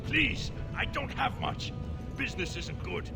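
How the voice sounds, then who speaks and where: Gravelly, frightened old shopkeeper. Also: male voice, high-pitched, expressive, measured pace, long reverb tail, over loud music, heavily acted. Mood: nervous pleading. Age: older. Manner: stammering, timid. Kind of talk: video game voice acting.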